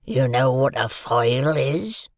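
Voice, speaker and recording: A man voicing a hungry, threatening escaped convict, speaking in slow, growling, half-whispered threats. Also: medium pitch, creaky, no echo, studio quality. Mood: menacing. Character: menacing ragged male convict, hungry and threatening.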